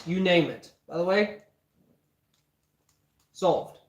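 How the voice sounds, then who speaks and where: A man chatting casually on a cooking livestream while losing his voice, rambling and often clearing his throat. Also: high voice, expressive, slow, slight background noise. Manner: rambling, frequent throat-clearing, easy laughter. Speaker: livestreaming home cook. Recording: echoey room, mic at a distance.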